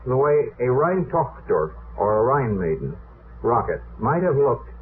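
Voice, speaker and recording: A man's voice: medium pitch, big pitch swings, a little slow. A man explaining technical matters off the cuff as a guest on a radio panel, in a hesitant way. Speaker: guest expert on rockets. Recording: old radio recording, narrow phone-like sound, noisy.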